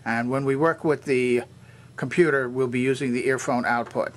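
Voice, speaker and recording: A man's voice: medium pitch, steady tone, moderate pace. An older man giving a methodical, hands-on explanatory tour as a repairer demonstrating equipment. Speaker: vintage electronics repairer and demonstrator.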